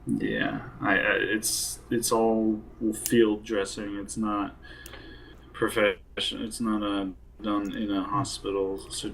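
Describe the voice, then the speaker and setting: Flat, low-pitched man in a game chat. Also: slow, a little hiss or hum, poor audio. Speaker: role play player. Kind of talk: in-character group game discussion.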